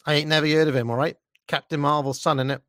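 A man's voice: a little high, fairly even intonation, fast talker, fairly bright tone, no echo, natural voice. Guy doing a mouthy young cockney bakery girl, dismissive, dry close recording. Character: brash, ignorant young working-class bakery girl.

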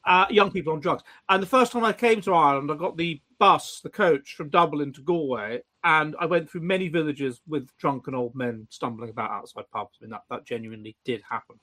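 A man with a high voice hosts a casual chat and reads listener questions aloud. He keeps breaking off and restarting his sentences, and he slips in wry jokes as he goes. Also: sharp tone. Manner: halting, stammering restarts; wry, joking. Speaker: host of an online pub show.

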